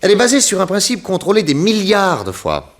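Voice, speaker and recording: A man's voice: sits high, huge pitch swings, fairly quick. A man playing a confident detective in a 1960s French radio drama, speaking in a theatrical, emphatic, methodical way. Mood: triumphant, assured. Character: confident male detective laying out an accusation.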